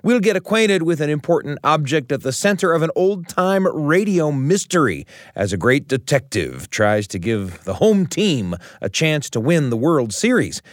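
A man's voice: on the high side, sing-song, moderate pace, hardly any pauses, no echo. A man hosting a radio show from a studio, giving a scripted but conversational introduction with emphatic, storyteller-like phrasing.

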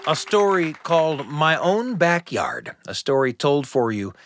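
A man's voice: a little high, sing-song, a little slow, a bit bright, soft background music, some chatter behind. A man hosting a storytelling radio show, moving between scripted links and studio chat on a close mic.

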